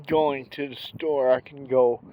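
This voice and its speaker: A man with a gravelly voice from a stroke, talking slowly and haltingly in a casual vlog while out walking, recorded on a handheld phone. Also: a little high, big pitch swings, rough recording. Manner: rambling, many pauses and restarts. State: recovering from a stroke, slow effortful speech. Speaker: vlogger. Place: outdoors on a walk.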